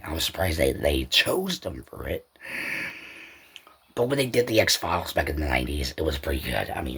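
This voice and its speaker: A man with a deep and heavily husky voice hosts a solo podcast. He speaks informally and without a script, wandering from point to point and often circling back to repeat himself. The delivery is loose and conversational, with a rough, breathy texture throughout. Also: a bit bright. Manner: rambling, repetitive, informal. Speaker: podcast host. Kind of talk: unscripted solo podcast talk.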